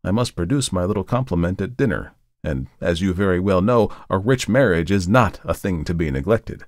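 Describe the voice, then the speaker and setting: A man voicing a brooding, agitated gentleman in an audiobook, speaking in a fervent, theatrical and insistent way. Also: low voice, lively intonation, normal speed, no room sound, studio quality. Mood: smooth, rehearsed. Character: intense, brooding, agitated gentleman customer with a secret. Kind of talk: audiobook character reading.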